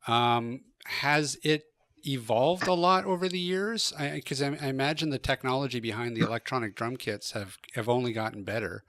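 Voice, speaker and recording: A man's voice: medium pitch, moderate pace, a bit bright, dry recording. A man hosting a podcast, asking casual questions in a friendly, slightly hesitant way. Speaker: podcast host.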